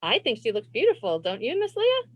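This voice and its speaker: A woman reading a children's storybook aloud as host, phrasing expressively and deliberately for young listeners on a video call. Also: on the high side, wildly swooping pitch, dry recording. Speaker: librarian and read-aloud host.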